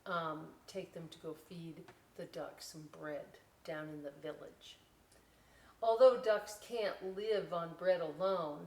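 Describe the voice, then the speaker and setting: A middle-aged woman hosts a children's read-aloud at a slow, deliberate pace. She stresses key words and drops into folksy, chatty asides to explain them simply. Her voice sits back from the microphone in a roomy, echoing space. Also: fairly low pitch. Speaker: library storytime host reading aloud. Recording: roomy echo, distant microphone.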